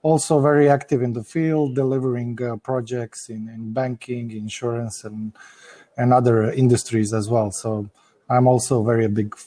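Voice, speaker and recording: A man introducing his professional work on a panel, with halting delivery. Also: mid-range voice, dark tone. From Croatia. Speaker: panelist, tech company representative. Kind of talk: informal professional self-introduction.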